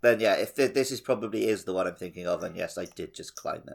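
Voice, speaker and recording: A man's voice: sits low, fast, gravelly, breathy edge. A man co-hosting a casual gaming podcast with wry, self-deprecating banter. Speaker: podcast co-host. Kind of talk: casual banter about video games.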